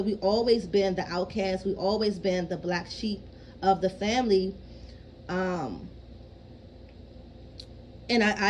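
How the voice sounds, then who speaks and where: A woman's voice: medium pitch, a little mellow, a little hiss or hum, rough recording. A woman in her thirties talking frankly in a casual personal vlog, swearing freely and often checking in with her listeners. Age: 30s. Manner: rambling, keeps asking "you know what I mean". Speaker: vlogger.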